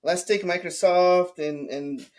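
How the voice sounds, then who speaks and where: A man's voice: sits high, slow. A man with a Portuguese accent hosting a podcast interview, upbeat and chatty, with many fillers and restarts. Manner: enthusiastic, frequent fillers and restarts. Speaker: podcast host. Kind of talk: casual interview chat.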